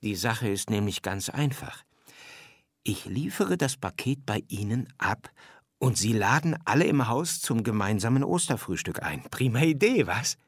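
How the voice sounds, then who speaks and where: A man voicing a lively, insistent storybook hare. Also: on the low side, normal speed, husky, no echo, clean studio sound. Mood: insistent, keen. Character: big talking hare, hurried, persuasive Easter egg delivery helper. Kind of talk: storybook character reading.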